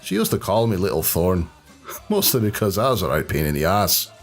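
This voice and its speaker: Man doing cocky drunkard brawler, low voice with big swings, deadpan, audio drama w music under it. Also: moderate pace, heavily acted. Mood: cocky, relaxed. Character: brash, sardonic hard-drinking Irish brawler man. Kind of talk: fan audio drama acting. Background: background music.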